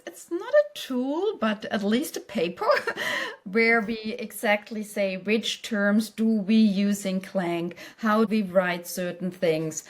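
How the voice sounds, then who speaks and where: Non-native English-speaking woman, interview guest. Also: medium pitch. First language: not English. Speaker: company chief executive, podcast guest. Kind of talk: conversational interview answers.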